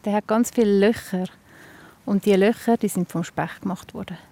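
A woman's voice: medium pitch, moderate pace, mellow. A woman with a Swiss German accent, a forest ranger explaining nature to a child in spontaneous speech outdoors.

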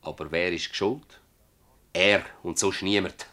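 Low-voiced old Swiss farmer, stubborn. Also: male voice, fairly even intonation, slow, pushed and bright, heavily acted. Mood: stubborn, earnest. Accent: Swiss German. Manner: rambling, emphatic, rhetorical repetition. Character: old Swiss village farmer, bitter, plain-spoken, stubborn. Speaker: radio drama actor. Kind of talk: Swiss dialect radio play acting.

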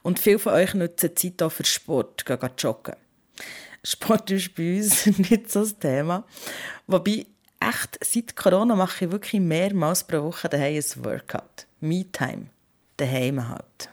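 A woman's voice: lowish voice, unhurried, a little mellow. A woman presenting conversationally to listeners as a podcast host.

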